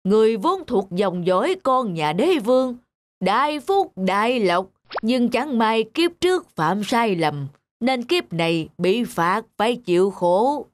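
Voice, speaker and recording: An actor voicing a sly, greedy village sorcerer in an animated folk tale, making grandiose pronouncements in a pompous drawl. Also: male voice, high-pitched, a little slow, no echo.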